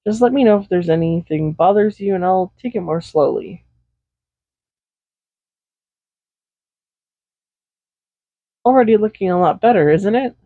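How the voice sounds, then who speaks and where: A woman playing a doting, possessive villain in an intimate roleplay audio, speaking softly and without hurry in a gently teasing manner. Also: lowish voice, no echo. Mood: gentle, coaxing. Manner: soft-spoken, unhurried, gently teasing. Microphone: Blue Yeti USB microphone, close. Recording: slightly dull home microphone recording.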